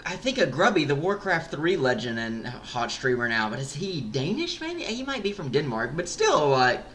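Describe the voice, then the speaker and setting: A man co-hosting an esports podcast, talking in an excitable, animated way with lots of fillers and restarts. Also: fairly high pitch, normal speed, a little hiss or hum. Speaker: podcast co-host. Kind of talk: casual esports discussion.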